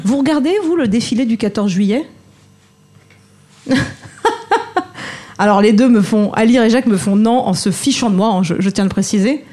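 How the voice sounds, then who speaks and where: Chatty teasing woman host, mellow voice. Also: fairly high pitch, moderate pace, pauses often. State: sore throat. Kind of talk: casual group chat.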